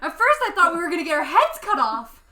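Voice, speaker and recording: A woman's voice: extremely high pitch, huge pitch swings, fast talker, bright, forceful tone, reverberant. A woman playing a tabletop game, chatting casually and reacting to the story.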